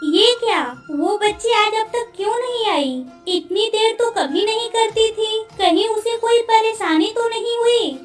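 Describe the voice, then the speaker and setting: A woman's voice: very high-pitched, unhurried, few pauses. A woman voicing an anxious, tender queen who longs for her lost daughter in a cartoon story. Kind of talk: Hindi cartoon story dialogue. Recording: roomy, distant sound with faint music.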